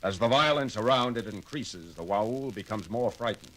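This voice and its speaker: An actor playing a calm, logical male science officer who speaks in a measured, precise and formal way. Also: on the low side, poor audio. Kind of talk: 1970s audio drama acting.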